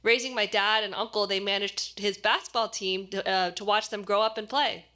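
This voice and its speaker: A woman actor reading a short story aloud as expressive scripted narration. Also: mid-range voice, moderate pace.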